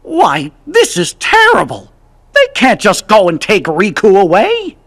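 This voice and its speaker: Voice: mid-range voice, huge pitch swings, a little slow, a bit bright, exaggerated voice. An actor voicing a small, courtly cartoon cricket in a video game, with a worried, folksy manner. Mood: dismayed.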